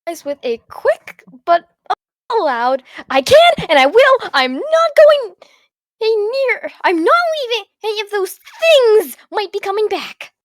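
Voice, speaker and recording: A woman with a high, very animated voice plays in a tabletop roleplay session. She narrates casually and slips into her character's lines, recorded dry. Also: slow pace, no echo. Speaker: player in a tabletop roleplay game.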